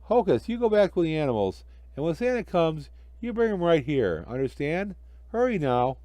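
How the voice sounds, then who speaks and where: Guy doing a jolly snowman for a kids story, bouncy pitch, jokey and eager, kinda mellow. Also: big pitch swings, moderate pace, dry recording. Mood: eager, urgent. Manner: exclamatory, cheerfully joking. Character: jolly, eager, good-natured male snowman.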